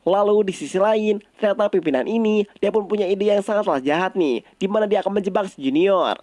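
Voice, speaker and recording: A man's voice: high voice, a little fast, a little mellow, no echo. A man with an Indonesian accent narrating a story recap in a casual, colloquial storytelling style.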